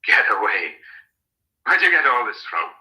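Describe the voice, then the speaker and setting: An actor playing a rough, teasing young sheep farmer, plain-spoken and offhand in a radio drama. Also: male voice, lowish voice, very animated, normal speed, pushed and bright, full character voice, rough recording. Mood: skeptical.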